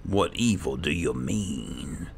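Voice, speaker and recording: An extremely deep-voiced man with heavy vocal fry commentates a game in character. He is slow and laid-back, muttering to himself over noisy game ambience. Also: expressive. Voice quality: gravelly. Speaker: gaming video host, player. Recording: clear game ambience noise behind. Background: video game ambience.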